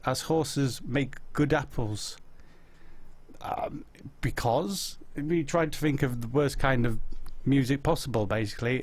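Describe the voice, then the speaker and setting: Chatty Yorkshire man in his forties. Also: medium pitch. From Yorkshire, England. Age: 40s. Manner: chatty, self-mocking, reading out viewer questions. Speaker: host answering viewer chat. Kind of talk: casual live chat.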